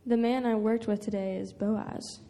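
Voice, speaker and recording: A woman's voice: medium pitch, steady tone, moderate pace, warm tone, close to an everyday voice. A woman playing a dutiful, humble young daughter-in-law in a dramatic scripture reading, earnest and deferential.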